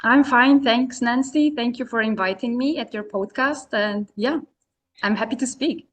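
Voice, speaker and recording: A woman originally from Serbia talks through her story in relaxed, conversational English. She hesitates often, leaning on "you know" and restarting sentences as she goes. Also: a little high, normal speed. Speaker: podcast guest, community founder. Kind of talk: casual interview answers.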